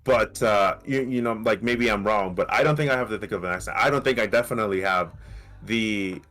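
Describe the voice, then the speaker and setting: A low-pitched man with a light Mexican accent makes his case on a casual gaming podcast. He talks in an animated way, restarting his sentences and tagging them with "right?". Soft music plays faintly behind him. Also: brisk. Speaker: podcast co-host. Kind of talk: casual debate.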